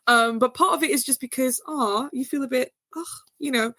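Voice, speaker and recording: A woman's voice: moderate pace, dry recording, clean studio sound. A woman from Portugal talking as a guest novelist in a casual interview, chatty and hesitant with many fillers and restarts. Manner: rises high and animated when answering.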